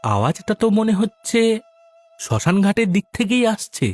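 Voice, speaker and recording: A male actor plays an inquisitive young city man in a cartoon story. His voice is high and very lively in pitch. He presses his questions stubbornly, with music behind him. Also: moderate pace. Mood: puzzled, uneasy. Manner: questioning, persistent. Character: curious, stubborn young city man visiting village relatives. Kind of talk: animated audio story dialogue.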